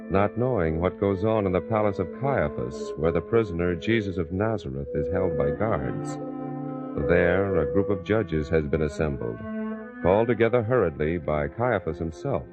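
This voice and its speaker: A man narrating a radio drama in a grave, measured, dramatic manner. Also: low voice. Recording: dull lo-fi radio recording. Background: music.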